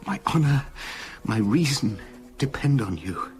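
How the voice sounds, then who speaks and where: An actor playing a genteel, anguished Victorian scientist in a British radio drama, with a British accent and measured, halting delivery. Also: male voice, medium pitch, lively intonation, music in the background, natural voice. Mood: grave pleading. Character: tormented, genteel Victorian male scientist, well-meaning and anguished.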